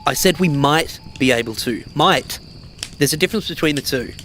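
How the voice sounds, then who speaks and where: A man's voice: on the high side, normal speed, fairly bright tone, a little hiss or hum, natural voice. A man playing a blunt, exasperated survivor. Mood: skeptical. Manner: blunt, argumentative. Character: Marcus, blunt pragmatic male survivor, exasperated but caring. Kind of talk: naturalistic audio drama dialogue.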